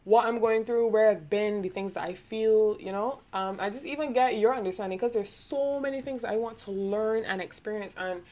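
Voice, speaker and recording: A young woman with a Barbadian accent talking quickly and rambling, hosting a casual solo podcast and laughing often. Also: medium pitch, dark tone, lo-fi sound. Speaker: podcast host.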